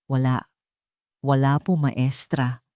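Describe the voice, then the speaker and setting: A woman voicing a stunned wealthy father who speaks haltingly in a dramatic story. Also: a bass voice, level tone, warm tone, dry recording, clean studio sound. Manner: halting, stammering. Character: stunned, stammering millionaire father.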